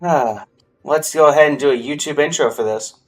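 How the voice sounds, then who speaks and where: A man's voice: a little high, fairly quick, faint music behind. A man doing casual, chatty livestream commentary on a video game, speaking directly to his viewers. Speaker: live streamer.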